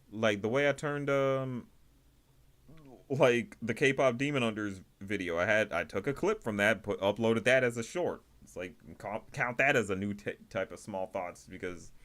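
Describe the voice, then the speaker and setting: A man hosting a livestream, giving casual, rambling commentary in a halting way, often repeating and rephrasing himself. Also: lowish voice, fairly bright tone. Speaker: livestream host reviewing indie animation.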